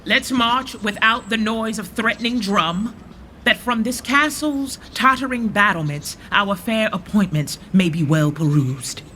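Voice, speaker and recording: Bold rebel lord, radio verse drama. Deliberate, formal, forceful delivery over light ambient noise. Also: male voice, high-pitched. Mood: solemn resolve. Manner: declamatory, measured verse delivery. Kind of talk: Shakespearean radio drama acting. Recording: faint background noise and ambience.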